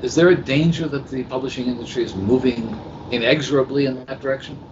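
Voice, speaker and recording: A man hosting a podcast interview, conversational, rephrasing his questions as he goes in a halting way. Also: medium pitch, fairly even intonation. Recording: muffled, noisy live hotel internet-call recording.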